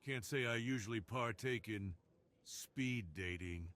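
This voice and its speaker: A man's voice: mid-range voice, measured pace, a bit bright, close to an everyday voice. A man voicing a suave, dominant crime boss in a seductive, self-assured ASMR roleplay. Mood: confident, flirtatious.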